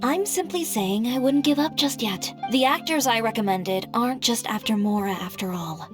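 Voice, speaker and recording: Blunt young businesswoman played by an actress for anime game: fairly high, cool, firm. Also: normal speed, natural voice. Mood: firm, frank. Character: composed, blunt, matter-of-fact young businesswoman. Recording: music behind the voice.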